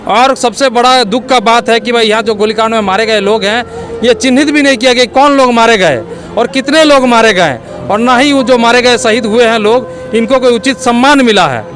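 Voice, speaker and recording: Male interviewee, Jharkhand accent, notably high voice, earnest community activist explaining a grievance outdoors. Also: moderate pace, a bit bright, faint music behind. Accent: eastern Indian, Jharkhand. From Jharkhand, India. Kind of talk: spoken interview statement.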